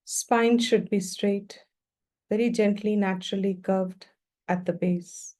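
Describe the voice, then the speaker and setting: Female meditation teacher: warm, dark-toned, mid-pitched voice, gentle unhurried instructions delivered in short phrases. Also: fairly even intonation.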